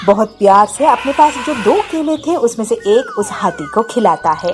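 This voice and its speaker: A woman's voice: on the high side, sing-song, normal speed, over music. A woman narrating a scripted moral story for children.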